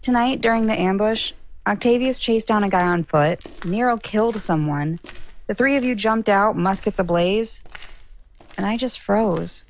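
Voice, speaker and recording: An actress playing an earnest, teasing newcomer in an audio drama, with a creaky, conversational delivery. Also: female voice, mid-range voice, unhurried, slight background noise, natural voice. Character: earnest, teasing woman newcomer from modern America.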